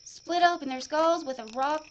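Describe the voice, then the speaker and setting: A woman voicing a shaken frontier survivor, blinded and haunted, speaking in a high, halting voice. The audio drama sound is dull and lo-fi, with faint hiss underneath. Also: steady tone, close to an everyday voice.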